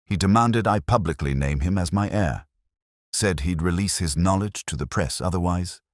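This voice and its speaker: A man voicing a weary, guilt-ridden elderly English magistrate in a story, confessing in halting, formal phrases. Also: low-pitched, no room sound, clean studio sound, pitched above the performer's own voice, lightly acted. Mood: bitter, subdued.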